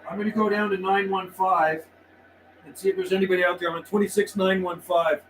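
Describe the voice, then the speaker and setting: High-voiced Canadian man over clear background noise. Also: flat delivery, brisk, a bit bright, low-quality recording. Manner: friendly, laid-back, uses buddy and man often. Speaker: livestream host and CB radio operator. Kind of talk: casual radio chat and channel calls. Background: CB radio noise and ambience.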